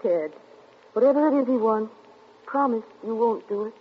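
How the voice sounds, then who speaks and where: A charming young wife, played by a woman, who stays light and affectionate yet slips away from questions. Her voice sits a touch high and moves freely. It sounds thin and tinny, like an old 1940s radio broadcast. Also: lively intonation, a little hiss or hum, close to an everyday voice. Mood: pleading. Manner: light, affectionate, quick to deflect.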